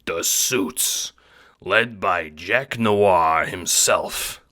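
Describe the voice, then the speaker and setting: A man playing a big, gruff but friendly and bashful henchman in an audio drama. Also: sits low, drawn-out words, pushed and bright, heavily acted. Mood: casual.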